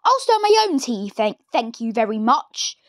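Lady doing a mean tiny old grandma from a storybook, snaps at everyone, high swoopy voice. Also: sing-song, normal speed, no room sound, studio quality. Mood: scolding. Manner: snapping, scolding. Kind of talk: storybook read-aloud.

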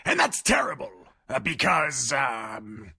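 A man playing an angry, greedy corporate boss in a comic dub, with a rough, gravelly voice. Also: medium pitch, huge pitch swings, slow, bright, forceful tone, full character voice. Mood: angry, scolding. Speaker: voice actor.

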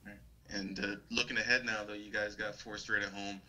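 Bright, low-pitched male reporter. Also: little pitch movement, a little hiss or hum, poor audio. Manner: casual, halting, colloquial. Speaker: sports reporter. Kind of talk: press conference questioning.

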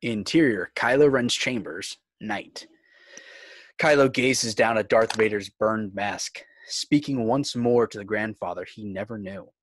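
A man narrating stage directions for a scripted fan table read in a measured, clipped way. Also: on the low side, no echo.

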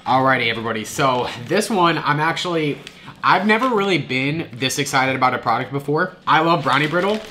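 A man's voice: a little high, fairly quick, a little hiss or hum. Stuffy-nosed man reviewing snacks. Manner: chatty, animated, with frequent restarts. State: nasal from a cold. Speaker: food vlogger. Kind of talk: casual snack review to camera. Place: home kitchen, indoors.

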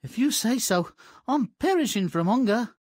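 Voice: mid-range voice, expressive, normal speed, darkish tone, slightly rough, no echo, studio quality. An actor voicing a hungry, dim, lazy peasant boy with a whining, nonchalant manner in a cartoonish game. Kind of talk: cartoonish video game acting.

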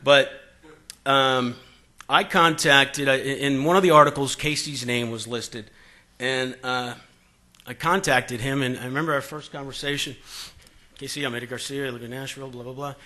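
A man's voice: medium pitch, steady tone, normal speed, sharp tone. A man in his forties from Boston gives a talk at a conference podium, telling stories in a conversational way with many fillers and acting out quoted exchanges. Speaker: conference speaker. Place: conference room. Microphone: podium microphone.

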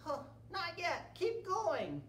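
High, whiny trickster spider. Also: male voice, slow pace, heavily acted. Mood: impatient. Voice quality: high-pitched, strained. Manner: whiny, exclaiming. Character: lazy, greedy, indignant male trickster spider. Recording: roomy with steady background noise.

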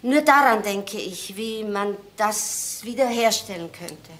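A woman's voice: medium pitch, animated, slow, fairly bright tone, echoey room, slight background noise. An actress playing an earnest, wistful widowed mother of grown sons, hesitant and imploring, in a naturalistic crime drama. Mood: pleading, wistful.

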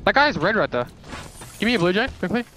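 A man playing in an esports team, barking excitable, clipped callouts during a match. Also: high voice, quick pace. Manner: excitable, clipped shouted commands. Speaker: esports player on a trio team. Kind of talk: urgent in-game team callouts. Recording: loud gunfire and game noise behind. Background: video game gunfire and ambience.

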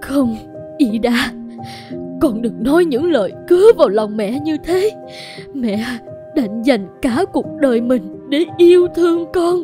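A woman voicing a poor, anxious, protective mother in an animated moral tale, with a pleading, wounded delivery. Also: high voice, a little slow, music in the background. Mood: hurt, pleading.

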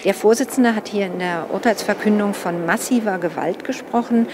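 A woman in her thirties gives a formal summary of a verdict. Her pitch is average, and clear ambient noise of a large hall surrounds her. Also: moderate pace. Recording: clearly noisy public building.

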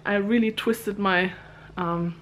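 A woman's voice: mid-range voice, fairly even intonation, slow. A woman in her thirties chatting upbeat to a camera held at arm's length in a small home craft room, laughing between words. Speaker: vlogger. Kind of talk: casual vlog chat. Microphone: handheld camera at arm's length.

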